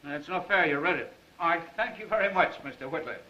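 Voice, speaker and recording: A cocky newspaper man, high and forceful in voice, tossing out teasing wisecracks. The sound is a dull 1930s film soundtrack. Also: expressive, fairly quick. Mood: flippant. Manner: flippant, teasing.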